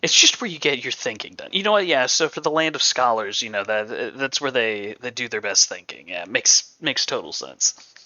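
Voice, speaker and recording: A man's voice: mid-range voice, animated, moderate pace, pushed and bright, dry recording, dull audio. A man livestreaming casual game commentary in a sarcastic, joking manner.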